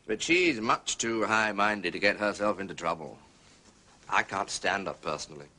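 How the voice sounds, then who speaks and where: Bright, forthright gentleman witness. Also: fairly low pitch, animated, normal speed. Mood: blunt. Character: blunt, uneasy gentleman witness, mildly scornful. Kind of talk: period detective radio drama acting.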